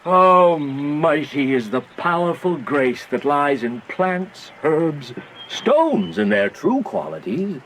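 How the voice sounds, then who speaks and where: An actor playing a wise, fatherly monk in a Shakespearean radio drama, speaking verse in a deliberate, sententious way. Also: a little high, big pitch swings, a bit bright, a little hiss or hum, full character voice, low-quality recording. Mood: musing, solemn.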